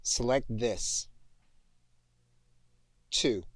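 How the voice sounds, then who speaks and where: A man giving an instructional software demonstration, speaking as a tutor in deliberate, clipped phrases. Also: medium pitch, no echo, studio quality. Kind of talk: instructional demonstration with dictated commands.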